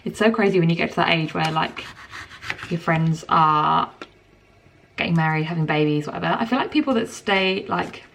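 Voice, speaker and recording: A young woman in her twenties rambles chattily to camera, her low voice full of vocal fry. She sounds a little distant from the mic in a home kitchen, with a pan loudly sizzling behind her. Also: normal speed, slight background noise. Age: 20s. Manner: rambling and chatty, lots of "like" fillers. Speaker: vlogger. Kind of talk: casual chat to camera. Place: home kitchen while cooking dinner. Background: frying food sizzling.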